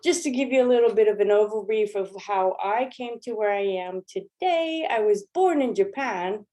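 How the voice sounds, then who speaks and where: A woman gives an informal workshop talk over her slides. Her voice is mellow and soft, sitting at an everyday middle pitch. She speaks in a relaxed, chatty way, often pausing on ums and restarting her sentences. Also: low-quality recording. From Los Angeles. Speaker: workshop leader.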